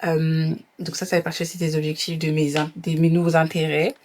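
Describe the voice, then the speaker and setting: A woman's voice: low-pitched, flat delivery, a little fast, a little mellow, slight background noise. A young adult woman chatting casually in a vlog, dropping in English phrases as she talks. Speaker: vlogger.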